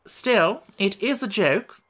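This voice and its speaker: A man's voice: high voice, lively intonation, slow, a bit bright, voice pushed up, full character voice. A man voicing a pompous, over-educated male insect who lectures stiffly and firmly.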